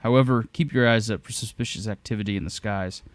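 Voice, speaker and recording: An actor playing a determined, clever inventor hero in an audio drama, explaining plans in an earnest, matter-of-fact way. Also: male voice, fairly low pitch, fast talker, dry recording, studio quality, natural voice. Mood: resolute, commanding. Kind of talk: scripted audio drama acting.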